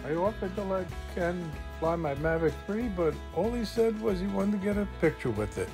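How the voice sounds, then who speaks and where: Casting: middle-aged male vlog host, upbeat, high-set voice, music underneath. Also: animated, normal speed. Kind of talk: upbeat vlog narration and chat.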